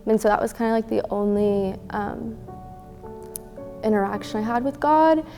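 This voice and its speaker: A young woman from Oklahoma giving an earnest personal testimony, often pausing on fillers. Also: fairly high pitch, mellow, long reverb tail, faint music behind. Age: young adult.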